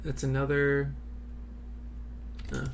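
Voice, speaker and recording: A man's voice: medium pitch, flat delivery, takes it slowly, a little hiss or hum. A man giving casual let's play commentary, slangy and profane, thinking aloud as he plays. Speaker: gaming video host.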